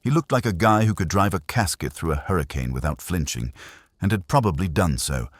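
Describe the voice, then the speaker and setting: A man's voice: low-pitched, lively intonation, fairly quick, husky, no echo. A man narrating a first-person detective audiobook in a wry, hardboiled manner.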